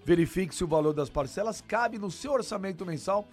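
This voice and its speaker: High-pitched man reading a radio ad. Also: animated, a little fast. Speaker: advertising announcer. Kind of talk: scripted radio ad read. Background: music bed.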